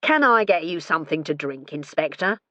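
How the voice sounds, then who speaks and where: A woman's voice: low voice, lively intonation, normal speed, fairly bright tone, no echo, lo-fi sound. A woman voicing a cheerful, chatty retired man proudly playing host in an audiobook. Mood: jovial. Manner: jovial, chatty.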